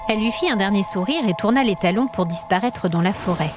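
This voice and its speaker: A woman storyteller reading scripted dramatic narration in an expressive, suspenseful manner. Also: medium pitch, quick pace, over music.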